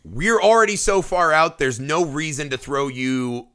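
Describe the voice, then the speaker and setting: Bright voice, male, slightly high pitch, podcast host, excitable chat. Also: measured pace. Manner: excitable, laughs often, frequent restarts and swearing. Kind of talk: casual film podcast chat.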